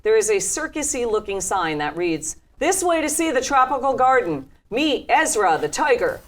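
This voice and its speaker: A woman's voice: fairly high pitch, sing-song, normal speed. A woman reading out scene headings and stage directions as the narrator of a script table reading.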